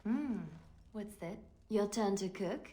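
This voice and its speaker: An actress playing an earnest, anxious young woman bard and spy in a video game, speaking softly. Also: medium pitch, takes it slowly, close to an everyday voice. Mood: curious. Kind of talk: video game cutscene acting.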